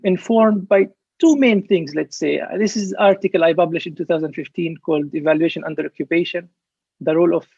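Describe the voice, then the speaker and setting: A man's voice: a little high, vocal fry, a little mellow, breathy edge, no echo, dull audio. A young man from Palestine giving an earnest, explanatory presentation at an online conference over a video call.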